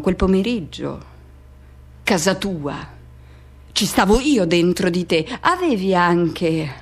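A woman performing a radio theatre monologue as an anguished, pleading lover recalling a forbidden teenage love, in urgent, halting phrases that insistently repeat. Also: mid-range voice, darkish tone. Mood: tense, remembering.

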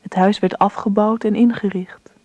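A woman's voice: medium pitch, steady tone, normal speed, a little mellow, dry recording, natural voice. A woman playing a composed narrator telling her family's story in a Dutch radio drama. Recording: dull dry old radio recording.

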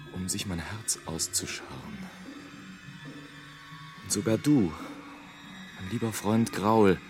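An actor playing a melancholic, dreamy airship traveller in a German radio play, speaking in a poetic, theatrical monologue. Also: male voice, fairly low pitch. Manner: slow, poetic, dramatic delivery with swells and hushed drops.

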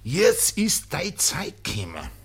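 A man's voice: fairly high pitch, huge pitch swings, slow, breathy edge, heavily acted. An actor playing a sly, goading male villager with a Bavarian accent in a radio play. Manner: goading, wheedling. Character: sly male villager urging a farmer to profiteer. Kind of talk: German radio play dialogue in dialect.

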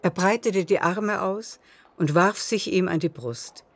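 A woman's voice: on the low side, unhurried. A woman narrating a literary radio drama in scripted prose.

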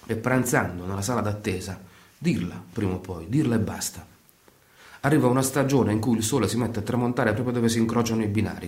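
A man acts a theatrical male storyteller in a radio play. His voice sits fairly low and moves in measured, deliberate phrases. The recording is clearly roomy, with echo around the words. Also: a little mellow, natural voice. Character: male storyteller and troupe leader, measured, wistful. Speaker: narrator.

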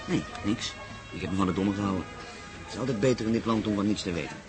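A man's voice: fairly low pitch, fast, music in the background, close to an everyday voice. A man acting in a Dutch radio play as a cagey, wry pilot who dodges questions. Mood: wry, guarded. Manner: evasive, wry. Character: cagey, sly male South American pilot, evasive and wry.

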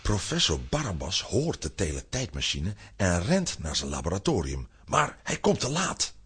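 A man with a husky voice narrating a scripted children's radio play as an animated storyteller. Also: low voice, moderate pace, slight background noise.

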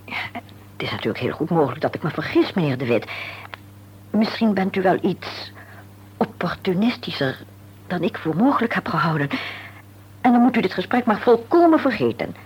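An actress playing a composed, tactful middle-aged wife in a radio play, speaking formally and deliberately with polite insistence. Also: female voice, on the low side, very animated. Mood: earnest, tactful. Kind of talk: Dutch radio play acting. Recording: dull, hissy old radio recording with hum.

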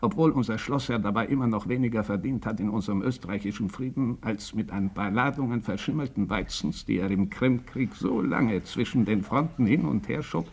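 An actor playing a blunt, shrewd doctor with a deliberate, sardonic, probing manner in a German radio drama. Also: male voice, lowish voice, a little mellow, husky. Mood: cynical. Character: blunt, shrewd, bespectacled male country doctor.

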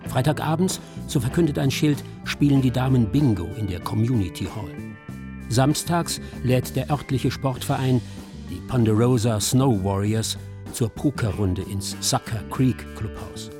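A man narrating a scripted radio documentary in a measured, descriptive storytelling manner. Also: medium pitch. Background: music.

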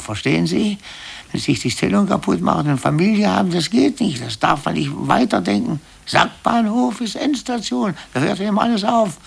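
An actor in a radio play voicing an embittered, brooding middle-aged office clerk who speaks haltingly and repeats himself. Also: male voice, a little high, gravelly, breathy edge, heavily acted. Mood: agitated, resolute. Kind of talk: 1950s German radio play acting.

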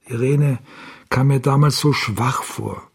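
An actor playing a brooding, obsessed man haunted by loss in a radio crime drama, speaking in a halting, bitter, reflective way. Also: mid-range voice, gravelly, darkish tone, slightly rough, full character voice. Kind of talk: radio play dialogue.

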